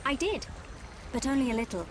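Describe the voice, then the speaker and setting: A woman's voice: sits high, big pitch swings, fairly quick, a little hiss or hum. An actress playing a formal, reserved young noblewoman in a video game, with precise, dignified speech. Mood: concerned. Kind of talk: video game cutscene acting.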